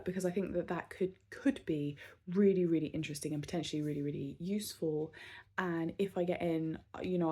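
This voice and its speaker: A woman in her thirties recording a casual vlog, talking unscripted and candidly, with many pauses, fillers and restarts. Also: low-pitched, warm tone, breathy edge. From southern England. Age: 30s. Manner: candid and self-reflective. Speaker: vlogger.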